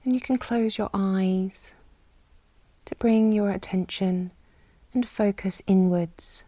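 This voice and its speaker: Woman doing a guided body scan, real slow and soothing, soft breathy relaxed voice, dry recording. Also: mid-range voice, no room sound. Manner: soft, breathy, soothing delivery with long pauses. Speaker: meditation guide.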